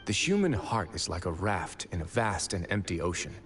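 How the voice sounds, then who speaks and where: A man playing a composed, stern and protective prison warden in an anime game, speaking in a measured, deliberate way. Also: low voice, sing-song, a bit bright, slight background noise. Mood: earnest.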